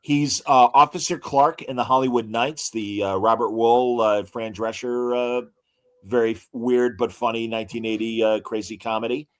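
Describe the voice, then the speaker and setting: A man co-hosting a casual podcast, reading out actor credits with frequent hesitant pauses and showman asides to the audience. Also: medium pitch, fairly even intonation. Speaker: podcast co-host.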